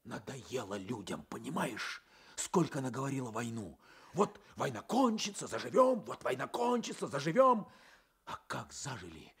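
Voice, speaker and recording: An actor playing a gruff, embittered young peasant in a radio drama, blunt and scolding. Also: male voice, medium pitch, very animated, moderate pace, full character voice. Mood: angry, weary. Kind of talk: Soviet radio drama acting.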